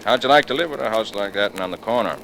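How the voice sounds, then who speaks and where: A man's voice: lowish voice, very fast, a bit bright, a little hiss or hum, rough recording. A man playing a tough, slangy American patrol cop in a blunt 1940s radio drama style. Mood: chatty.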